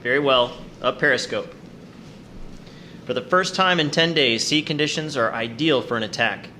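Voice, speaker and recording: An actor playing a stern, cautious wartime submarine captain, giving clipped, commanding orders in a war drama. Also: male voice, mid-range voice, moderate pace, bright, forceful tone, a little hiss or hum, natural voice. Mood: focused. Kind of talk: radio-play style war drama acting.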